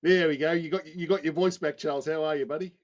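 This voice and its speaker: A man's voice: fairly high pitch, fairly even intonation, fast talker. A man with an Australian accent hosting a casual group video call, chatting in an informal, chummy way. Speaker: host of networking call.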